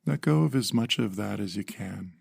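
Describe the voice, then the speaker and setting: Male meditation guide: low, gravelly voice, calm measured phrasing with generous pauses, very dry sound. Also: no echo.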